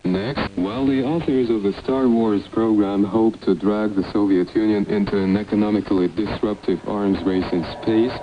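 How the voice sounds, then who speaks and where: Male 1980s shortwave announcer, scripted delivery, lowish pitch, noisy archive recording. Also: steady tone, moderate pace, hardly any pauses, dull audio.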